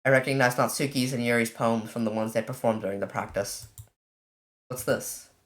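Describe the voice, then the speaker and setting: A let's player casually commenting on a visual novel and reading its text aloud, in an informal, halting way. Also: mid-range voice, steady tone, fairly bright tone. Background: game music.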